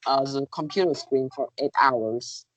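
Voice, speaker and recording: A woman whose first language is Vietnamese answers contest interview questions in English. Her voice sits low and sounds dry and close. She hesitates often, filling gaps with "uh" and "like" as she reaches for words. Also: measured pace, dry recording, low-quality recording. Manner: hesitant, searching for words, many fillers.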